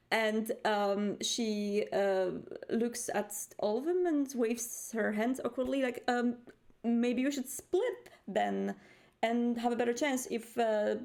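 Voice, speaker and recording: Creaky, higher-voiced woman, hesitant roleplayer. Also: a little mellow. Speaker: tabletop role-playing player. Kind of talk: casual third-person narration of own character.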